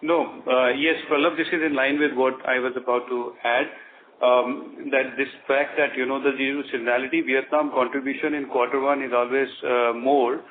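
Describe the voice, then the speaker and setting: Indian finance executive on noisy phone line. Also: male voice, medium pitch, fairly even intonation, moderate pace. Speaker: company finance executive on an earnings call. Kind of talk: unscripted explanation of business figures. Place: conference call. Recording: thin phone line with noise.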